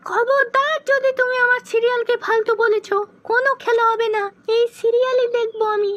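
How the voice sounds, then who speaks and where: A woman voicing a quick-tempered, demanding young wife in exaggerated comic cartoon skits. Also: very high voice, little pitch movement, fairly bright tone, highs cut off. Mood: angry, bossy. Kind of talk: comic cartoon dubbing in short joke skits.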